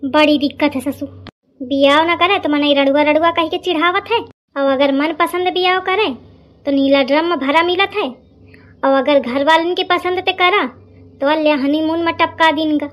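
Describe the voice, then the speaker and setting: A woman plays a cheeky young village lad from a comedy cartoon. The voice is very high, with an Awadhi accent and a wry, complaining way of talking. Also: normal speed, fairly bright tone. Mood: wry complaint. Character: cheeky unmarried young village son, mother's confidant.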